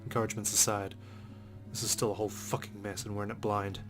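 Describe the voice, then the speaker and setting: A man's voice: fairly low pitch, flat delivery, quick pace. A man hosting a let's play, reading a visual novel's first-person narration aloud. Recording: music under the voice. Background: game music.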